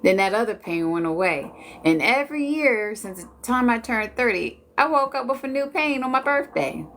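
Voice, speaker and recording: A woman's voice: fairly high pitch, lively intonation, normal speed, a bit bright, a little hiss or hum. A woman in her late forties from Washington D.C., chatting casually and jokingly with viewers on a live stream. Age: 40s. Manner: chatty, laid-back, joking.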